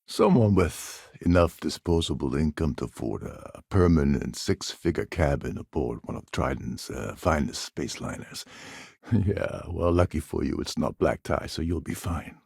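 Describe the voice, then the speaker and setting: An actor playing a gruff, cynical, self-serving space pirate in a video game, with a gravelly voice and a wry, sardonic, world-weary manner. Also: male voice, rumbling low, animated, measured pace, a little mellow, dry recording, clean studio sound, heavily acted. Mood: wry, bargaining. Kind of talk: game character dialogue lines.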